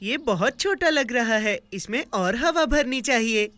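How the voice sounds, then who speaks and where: A woman voicing a playful, impulsive little boy creature in a children's cartoon, with exclamatory, drawn-out delivery. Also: a little high, animated, fairly bright tone, dry recording. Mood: cheerful, eager.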